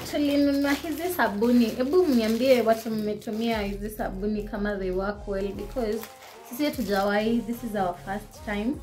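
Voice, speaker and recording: A young woman in her twenties with a Kenyan accent, chatting casually about her shopping haul as she holds up each item to the camera in her living room. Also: medium pitch. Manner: chatty, code-switching, shows items to camera. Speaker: vlogger.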